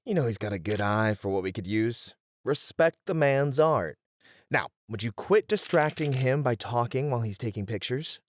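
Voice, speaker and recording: Male actor as exasperated idol manager, mid-pitched, lively intonation, dry chiding banter, audio drama. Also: moderate pace, a little hiss or hum, natural voice. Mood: brisk, chiding. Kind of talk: scripted ASMR roleplay audio drama.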